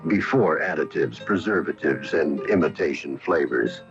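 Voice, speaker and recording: A man's voice: very deep voice, animated, moderate pace, soft background music. A man reading a scripted radio advertisement as a commercial announcer. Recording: dull lo-fi AM radio broadcast recording.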